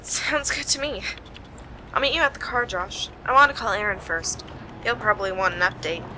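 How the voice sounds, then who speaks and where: A woman playing a sarcastic, bossy detective in an amateur radio play, with a wry, brisk delivery. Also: mid-range voice, lively intonation, close to an everyday voice. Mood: businesslike. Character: sharp, sarcastic female detective leading a murder case. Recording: noisy amateur home recording.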